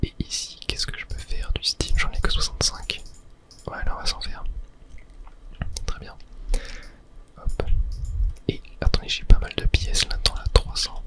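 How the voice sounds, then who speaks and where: A person whispering ASMR game commentary, thinking aloud to viewers with hesitant pauses. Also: poor audio. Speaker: gaming video host.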